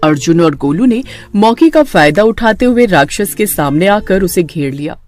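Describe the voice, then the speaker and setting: Animated woman narrating a scary story. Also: medium pitch, moderate pace, soft background music. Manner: dramatic storytelling. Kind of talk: scripted horror story narration.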